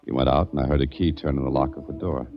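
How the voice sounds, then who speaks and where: A wry, deep-voiced adventurer. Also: male voice, fairly even intonation, quick pace, faint music behind. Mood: narrating. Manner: wry, casual and probing. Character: male adventurer hero, curious and wry. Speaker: narrator and lead. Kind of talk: 1940s radio acting. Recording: dull dry old radio recording.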